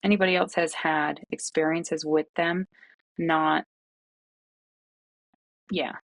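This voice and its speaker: A young woman from the with a gravelly, fry-edged voice that sits on the low side, talking in a casual vlog. She rants with incredulous, exasperated asides. The recording is dry and close. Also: no room sound. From Massachusetts, North Shore of Boston. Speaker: true crime YouTuber.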